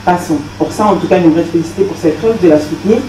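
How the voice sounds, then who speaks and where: A woman journalist from France who asks a question in a measured, polite and earnest way at a conference. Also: fairly low pitch, warm tone, slight background noise. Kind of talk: formal audience question. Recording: distant, echoey room with faint ambience.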